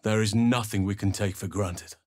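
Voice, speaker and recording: An actor playing a big, earnest druid healer in a video game, solemn and gentle, with measured, formal, old-fashioned phrasing. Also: male voice, on the low side, steady tone, no room sound, studio quality, close to an everyday voice. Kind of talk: video game character voice acting.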